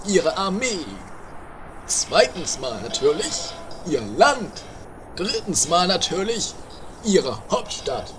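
A man playing a greedy, scheming, squabbling adventurer in an improvised comedy radio play, theatrical, accusing and pompous. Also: fairly high pitch. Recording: very noisy amateur 1980s recording.

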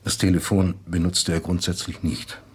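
A man playing a thoughtful acquaintance recalling a late friend in a measured, articulate radio drama monologue. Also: low voice, little pitch movement, slightly rough. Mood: reminiscing.

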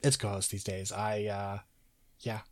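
A man's voice: lowish voice, level tone, unhurried, a little mellow, no room sound. Sick man losing his voice, podcast host. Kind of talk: casual gaming podcast chat.

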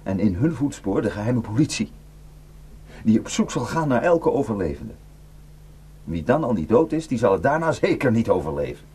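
A man's voice: mid-range voice, big pitch swings, normal speed, darkish tone, husky. An actor in a radio drama playing a weary, bitter, well-travelled man who grimly warns of atrocities. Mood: grim warning. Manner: grim, cursing, rambling storyteller. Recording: noisy radio play recording.

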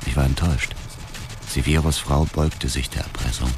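Very deep, cold narrator. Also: male voice, fairly even intonation, moderate pace, over music. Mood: detached, grim. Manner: detached, contemptuous. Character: cold, embittered male avenger narrating his revenge. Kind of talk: scripted first-person radio drama narration.

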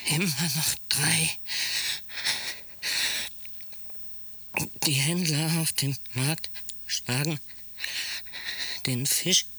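A man playing an obsessive, detached psychiatric patient in a radio drama, speaking in halting fragments with a husky voice that often drops to a whisper. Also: mid-range voice, sharp tone, exaggerated voice. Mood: brooding, agitated.

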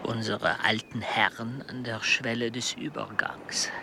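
An actor in a radio play voicing a grudgingly mellowed man who reflects drily and deliberately, sometimes half whispering. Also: medium pitch, fairly even intonation, lightly acted. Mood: reflective, wry. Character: male observer, grudgingly mellowed, dry and reflective. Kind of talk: German radio play acting. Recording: clear background hiss and animal ambience.

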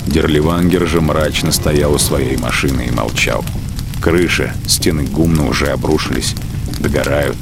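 A man narrating a literary radio drama in a measured, grave storytelling manner. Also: very deep voice, creaky. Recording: music bed behind the voice.

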